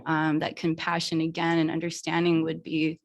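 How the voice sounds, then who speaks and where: A young woman speaking earnestly on a panel, with many pauses and restarts. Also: deep voice, monotone. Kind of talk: casual panel talk.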